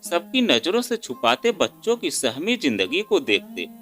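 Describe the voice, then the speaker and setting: Male storyteller, dramatic sorrowful narration, very animated pitch, bright tone, faint music behind. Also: measured pace. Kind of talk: emotional first-person story narration. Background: faint instrumental music.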